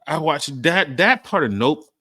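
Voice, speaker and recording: A man's voice: a little high, expressive, unhurried, bright, forceful tone, dry recording, studio quality. A young man co-hosting a podcast, talking in laid-back, profane banter. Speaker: podcast co-host. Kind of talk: casual chat.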